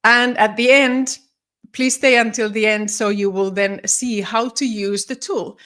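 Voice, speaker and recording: A woman's voice: on the high side, fairly even intonation, measured pace, a bit bright. Woman hosting a live talk. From Finland. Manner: friendly, many ums, restarts, slightly formal phrasing. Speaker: leadership coach and psychologist. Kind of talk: solo live-stream talk.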